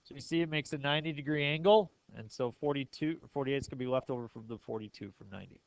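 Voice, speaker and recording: A man's voice: mid-range voice, fast, dry recording, muffled recording. A man teaching a math class step by step, often checking understanding with questions. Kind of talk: classroom lesson, explaining step by step.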